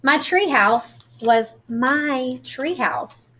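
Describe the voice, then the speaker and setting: A woman with a very bright, fairly high voice reads a picture book aloud for children. She is expressive and teacherly, moving between storytelling and simple questions for her young listeners. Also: drawn-out words, slight background noise.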